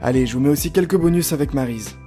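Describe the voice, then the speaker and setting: A man's voice: mid-range voice, fast. A man hosting a wrestling countdown video with scripted narration. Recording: music behind the narration. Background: background music.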